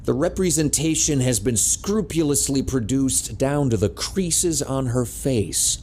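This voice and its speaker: A man's voice: mid-range voice, expressive, a little slow, darkish tone, a little hiss or hum, natural voice. A man narrating an adventure audio drama in a dramatic, scripted storytelling style.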